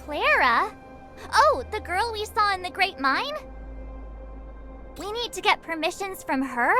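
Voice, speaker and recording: Very high, cheeky girl adventurer. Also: unhurried, full character voice. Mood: puzzled. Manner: expressive, sassy, informal. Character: cheeky, grumbling, excitable young girl adventurer. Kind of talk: anime-style game voice acting. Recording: music behind.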